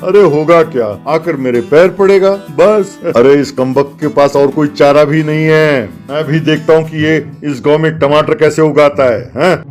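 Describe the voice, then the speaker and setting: A man playing a pompous, mocking, domineering village headman in an animated comedy story. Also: a little high, normal speed, few pauses, soft background music, close to an everyday voice. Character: haughty village headman, scornful, domineering older bigwig.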